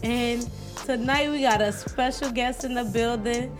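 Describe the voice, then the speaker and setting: A woman's voice: a little high, steady tone, measured pace, music in the background. A woman hosting a casual chat show, playful and teasing as she hypes the episode. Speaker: podcast host.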